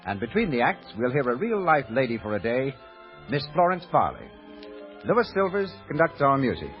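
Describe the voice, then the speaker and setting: A man's voice: medium pitch, big pitch swings, moderate pace, faint music behind. A man with an American accent announcing and selling in polished, emphatic 1930s radio style. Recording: muffled 1930s radio broadcast recording.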